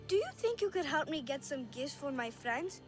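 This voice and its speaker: A woman's voice: high voice, big pitch swings, moderate pace, faint music behind. An actress playing an innocent, lonely little girl in a video game, earnest and playful. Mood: earnest, sweet. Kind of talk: video game cutscene acting.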